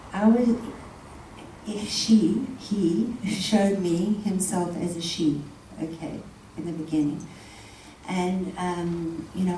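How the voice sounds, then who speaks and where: A woman's voice: lowish voice, reverberant, distant mic, slight background noise, poor audio. A woman from South Africa speaking informally to a live audience, with many ums and frequent laughter. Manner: soft-spoken, many ums, laughs often. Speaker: guest speaker. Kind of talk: informal talk before an audience. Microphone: handheld mic.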